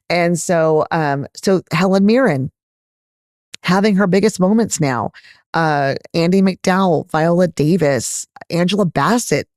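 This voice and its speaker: A middle-aged woman with a Texas accent, a gynecologist answering interview questions in an animated, candid, emphatic way. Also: low-pitched, dry recording, studio quality. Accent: Texan. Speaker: gynecologist guest.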